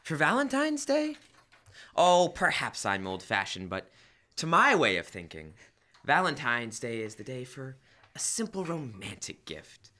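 A young man plays a put-upon husband from an old radio comedy. His bright, forceful voice swings widely up and down, staying polite through his exasperation. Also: mid-range voice, wildly swooping pitch, a little slow, exaggerated voice. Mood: wry. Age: young adult. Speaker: high school student actor. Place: school library room, live staged radio show. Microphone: shared stand microphone.

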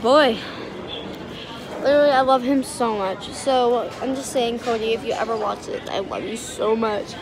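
A teenage girl vlogging on a phone held at arm's length in a busy restaurant, gushing and giggly as she talks fast and excitedly. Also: fairly high pitch, music in the background, rough recording. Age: teens. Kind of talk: excited casual vlog chat. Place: indoor restaurant at a competition venue.

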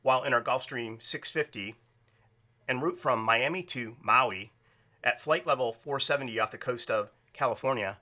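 A man's voice: mid-range voice, sharp tone. A man reading out a report and explaining it informally as narrator. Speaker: narrator presenting air traffic recordings.

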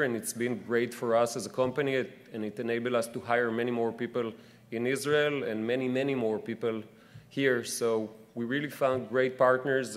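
A man in his thirties from New Jersey, an executive giving a business talk from a podium in an event hall, with many pauses and restarts. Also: mid-range voice, slight background noise. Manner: hesitant, frequent uhs and restarts. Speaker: company executive. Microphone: podium microphone.

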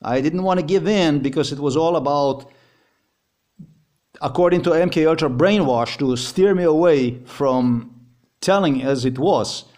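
A man's voice: on the high side, a little slow, darkish tone. A man with a Slovenian accent, a native Slovenian speaker, giving a heated personal account in English, repeating himself and swearing as he talks. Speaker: vlogger.

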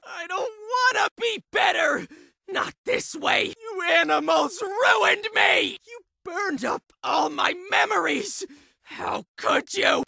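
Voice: extremely high pitch, bright, forceful tone, no echo, heavily acted. An actor playing a raving, paranoid asylum patient in a video game, tormented and violent, ranting in frantic, screechy bursts. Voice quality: screechy, gravelly scream. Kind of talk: shouted video game enemy barks.

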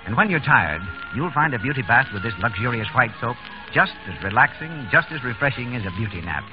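A man's voice: mid-range voice, sing-song, a little fast, over music. A male announcer reading a scripted 1930s radio advertisement in a polished, persuasive manner. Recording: dull old radio recording.

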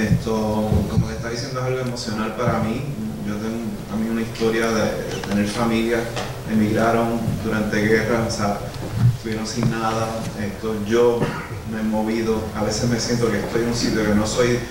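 A Puerto Rican man in his thirties speaks at a book presentation through a handheld mic, rambling and filling his pauses. The sound comes from a distance, in a very echoey theater. There is clear background noise. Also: fairly low pitch, little pitch movement. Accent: Puerto Rican Spanish. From Puerto Rico. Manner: rambling, many fillers like "o sea". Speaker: visual artist and musician. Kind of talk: casual talk at a book presentation. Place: small theater with an audience. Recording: echoey hall from far off, noisy.

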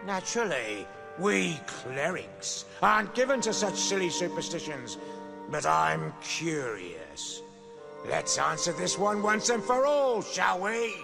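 An actor playing a sly, bullying cleric guard in a video game, mocking and wheedling in a drawn-out manner. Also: male voice, high voice, big pitch swings, creaky, exaggerated voice. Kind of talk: theatrical video game voice acting. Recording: loud game music behind voice.

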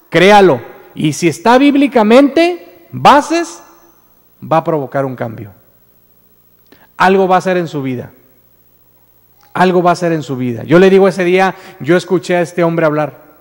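A Mexican man preaches from a pulpit with a high, lifted voice, telling his story earnestly and often restarting on repeated words before building to emphatic declarations. His voice carries into a church hall with a long, ringing reverb. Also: sing-song, a little slow, long pauses. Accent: Mexican Spanish. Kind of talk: sermon. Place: church sanctuary with stage, indoors. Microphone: standing mic at pulpit.

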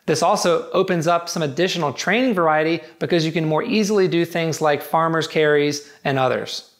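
A man's voice: on the high side, fairly quick. A man giving a scripted, matter-of-fact review of home gym barbells. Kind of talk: scripted product review narration.

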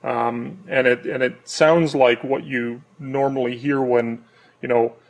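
A middle-aged man giving an unscripted technical demonstration as an engineer, in a measured, explanatory way. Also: mid-range voice, fairly even intonation, poor audio. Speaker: loudspeaker engineer presenting his company's product. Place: large warehouse workshop with stocked shelving, indoors.

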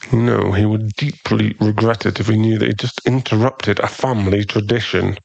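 Man playing dangerous mafia boyfriend, low gravelly voice, possessive yet tender, intimate ASMR roleplay, teasing. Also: fairly even intonation, dry recording, muffled recording. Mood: mildly annoyed, teasing. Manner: slow, intimate, teasing, with sighs and lingering pauses.

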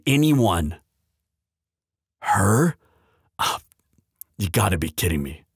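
A man playing a guilt-ridden bodyguard in love in a roleplay audio drama, speaking in an urgent, halting, earnest way. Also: lowish voice, dry recording, studio quality. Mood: reluctant.

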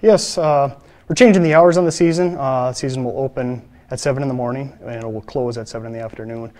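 A man's voice: mid-range voice, fairly even intonation, fast, darkish tone. Male biologist in his thirties, ordinary mid-range voice, plain studio answers full of uhs. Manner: plain, matter-of-fact, with many filler uhs. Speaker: fisheries biologist. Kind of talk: interview answers. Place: studio, seated.

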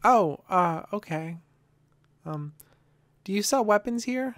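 A woman's voice: mid-range voice, expressive, slow pace, no echo. A woman voicing a friendly, naive little female kobold in a fantasy audio comedy, somewhat hesitant.

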